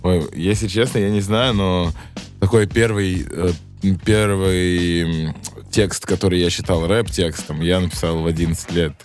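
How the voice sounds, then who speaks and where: A man's voice: deep voice, steady tone, normal speed, faint music behind. A man of about thirty talking casually and slangily as an interviewed rapper, reflective and searching for words.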